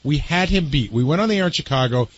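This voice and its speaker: Male radio regular, quick delivery, slightly high voice, casual explainer, muffled lo-fi sound. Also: lively intonation, dry recording.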